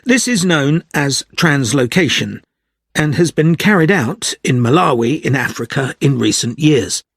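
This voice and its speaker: Male student presenter, scripted, measured, explanatory, animated intonation, dry close sound. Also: on the high side, vocal fry, breathy edge, no echo, full character voice. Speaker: actor in an exam listening recording.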